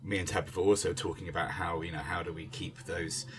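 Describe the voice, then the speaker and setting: A man speaking earnestly in an unscripted discussion as a theatre director, halting and searching for his words. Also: sits low, steady tone, fairly bright tone. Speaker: theatre director in a panel conversation.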